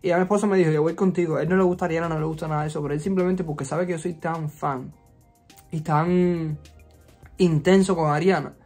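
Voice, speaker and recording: High-voiced chatty Cuban man. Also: fast, soft background music. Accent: Cuban Spanish. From Cuba. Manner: chatty and expressive, frequent fillers, playful sass. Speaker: YouTuber. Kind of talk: casual storytime vlog. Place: resort vacation rental.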